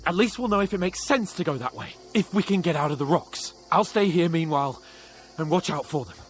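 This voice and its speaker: A man's voice: a little high, expressive, a little fast, noisy background, lightly acted. An actor playing a sarcastic, jittery young nobleman in a game cutscene, stammering when nervous. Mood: tense. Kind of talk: video game cutscene acting.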